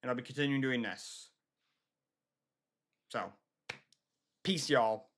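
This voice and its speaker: An American man from Michigan chatting casually to his livestream viewers, halting and often repeating himself. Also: medium pitch, a bit bright. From Michigan, United States. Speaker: livestream host.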